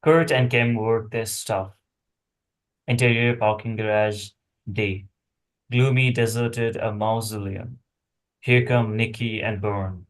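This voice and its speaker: Man reading screenplay directions, lowish voice, slow, short clipped phrases. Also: steady tone, poor audio.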